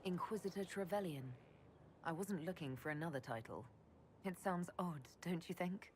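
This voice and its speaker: A woman's voice: on the low side, expressive, moderate pace, darkish tone, a little hiss or hum, close to an everyday voice. A woman playing a reluctant leader in a fantasy game, candid, direct and earnest. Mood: gentle, curious. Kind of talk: dramatic game cutscene acting.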